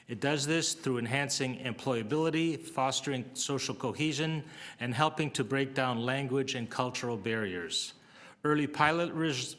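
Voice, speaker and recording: A man's voice: mid-range voice, fairly bright tone. A man presenting a public health program at a city board meeting, reading prepared remarks with occasional fillers and restarts. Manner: reads prepared text with fillers. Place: council meeting room.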